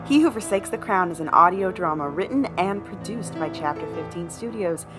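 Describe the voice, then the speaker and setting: A woman's voice: fairly low pitch, animated, a little fast. A woman reading scripted credits as the announcer of an audio drama. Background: loud music.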